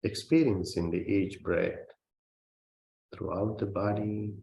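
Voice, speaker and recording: Slow, gravelly man guiding meditation. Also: low voice, steady tone. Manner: gentle, measured, with long pauses between phrases. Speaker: Buddhist monk, meditation teacher. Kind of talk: guided meditation. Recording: roomy, reverberant sound.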